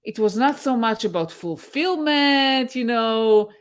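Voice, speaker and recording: Israeli-born woman, halting and thoughtful. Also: on the high side, animated. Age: middle-aged. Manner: thoughtful, hesitant with many fillers. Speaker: guest, tech mentor. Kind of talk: casual interview on a video call.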